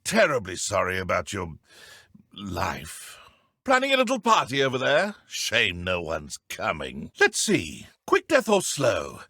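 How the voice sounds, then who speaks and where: An actor voicing a haughty, theatrical dark wizard villain in a video game, with pompous, archaic, taunting diction. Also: male voice, fairly low pitch, wildly swooping pitch, a little slow, breathy edge, dry recording, studio quality, exaggerated voice. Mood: mocking. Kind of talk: video game combat voice clips.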